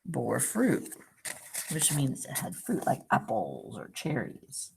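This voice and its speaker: A woman reading a picture book aloud to young children, pausing for simple questions and explanations like a teacher. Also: rumbling low, wildly swooping pitch, gravelly, slight background noise. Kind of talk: read-aloud storytime with asides and questions to young listeners.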